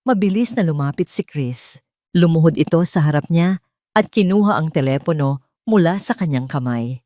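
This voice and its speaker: A woman's voice: deep voice, animated, normal speed, mellow, no room sound, studio quality. A woman with a Filipino accent reading a scripted dramatic story as a narrator.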